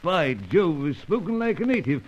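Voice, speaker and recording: An actor playing a bossy upper-class spy chief in a radio drama, with a hearty, imperious, old-fashioned manner. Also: male voice, fairly high pitch, big pitch swings, unhurried. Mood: hearty, stern. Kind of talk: radio spy adventure acting. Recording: dull, noisy, distant lo-fi recording.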